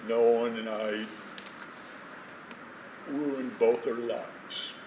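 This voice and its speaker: Stage drama role: man playing tender elderly man full of regret, slow halting delivery, recorded far from the mic. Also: medium pitch, steady tone. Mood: haunted, regretful. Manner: halting, emotional, repeating words. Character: elderly divorced man, regretful, tender, longing for lost love. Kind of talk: stage play acting. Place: theatre stage, distant mic. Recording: echoey stage from far off, noisy.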